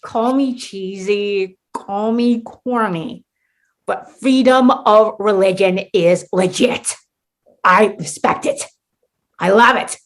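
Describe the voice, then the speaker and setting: A woman's voice: mid-range voice, slow, lightly acted. A woman playing an earnest, defiant, dramatic holiday mascot in a radio play, with a heartfelt theatrical delivery.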